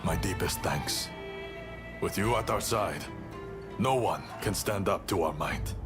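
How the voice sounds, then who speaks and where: Low, solemn samurai commander. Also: male voice, music in the background. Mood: resolute. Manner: solemn, formal, measured. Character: earnest, honorable male Shinsengumi samurai commander. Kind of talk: video game cutscene acting.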